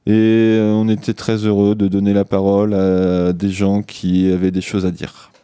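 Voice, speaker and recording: A man hosting a community radio show, chatting casually and sounding tired, pausing often on filler sounds into a studio mic. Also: fairly low pitch, completely flat delivery, dark tone, smooth, dry recording. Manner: hesitant, many filler pauses. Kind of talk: casual on-air chat. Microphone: studio microphone.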